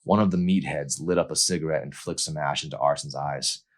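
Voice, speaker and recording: A man's voice: rumbling low, steady tone, a little fast. A man reading a novel aloud in an intense, theatrical narrating style. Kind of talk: dramatic audiobook narration.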